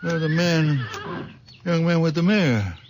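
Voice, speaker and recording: An actor playing a gentle, humble very old man in a radio drama, speaking hesitantly and modestly. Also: a little high, slow, background noise. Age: older. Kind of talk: 1970s radio drama acting.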